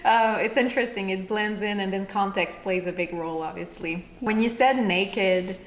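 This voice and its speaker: A woman's voice: medium pitch, normal speed. A woman hosting a podcast, interviewing conversationally with reflective follow-up questions. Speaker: podcast host. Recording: echoey room, faint background noise.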